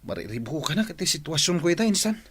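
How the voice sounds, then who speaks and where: A man's voice: on the high side, very animated, fast talker, fairly bright tone, breathy edge, no echo, full character voice. A man playing a burdened young student in an Ilocano radio drama, earnest and troubled. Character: troubled young male student facing fatherhood.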